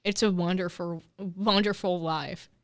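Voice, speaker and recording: A woman's voice: lowish voice, steady tone, unhurried. A young woman co-hosting a podcast, reading out a list in a matter-of-fact, casual way. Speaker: podcast co-host. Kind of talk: casual chat.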